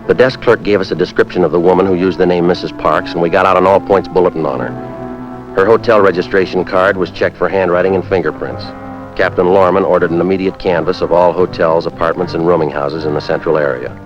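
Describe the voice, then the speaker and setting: An actor playing a terse, businesslike police sergeant in a 1950s crime drama, clipped and matter-of-fact. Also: male voice, low voice, fairly even intonation, fast, lightly acted. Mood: deadpan, businesslike. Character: terse, businesslike male police sergeant narrating his case. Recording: dull vintage 1950s soundtrack, music behind.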